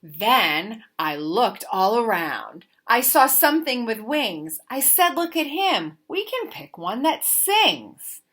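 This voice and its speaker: A woman reading a children's picture book aloud like a teacher, with expressive, deliberate, sing-song emphasis. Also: fairly high pitch. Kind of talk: animated children's storybook read-aloud.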